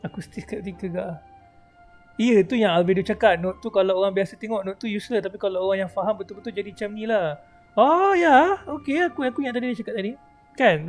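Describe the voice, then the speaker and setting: A Malaysian livestreamer, a native Malay speaker, giving casual, chatty game commentary and mixing in English. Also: mid-range voice.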